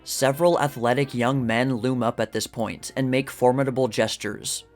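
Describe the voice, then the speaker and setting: Male narrator, medium pitch, measured and formal literary read of scripted text, music bed underneath. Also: fairly even intonation. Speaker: narrator of a history channel. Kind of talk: scripted narration, reading an old magazine article. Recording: music behind the voice.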